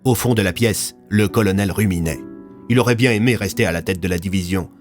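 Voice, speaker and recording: A man's voice: fairly low pitch, a little fast, faint music behind. A man reading scripted narration for an audio fiction serial, in a storytelling delivery.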